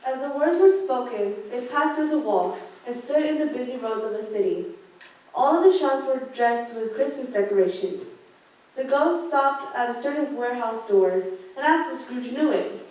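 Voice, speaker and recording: Echoey high-voiced teenage girl narrating. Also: expressive, moderate pace, a little mellow. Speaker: narrator in a student radio play. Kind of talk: scripted storytelling narration. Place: large school theater classroom, indoors. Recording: echoey room, distant mic, background noise.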